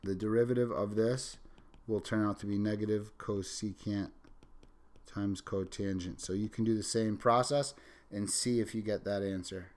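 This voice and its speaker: A man with a somewhat low voice teaches calculus while he is sick and sniffling into the microphone. He narrates each step as he writes. He stops now and then to correct himself. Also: fairly even intonation, moderate pace, a little mellow. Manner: talks through steps while writing, self-correcting asides. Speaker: math teacher. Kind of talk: recorded calculus lecture.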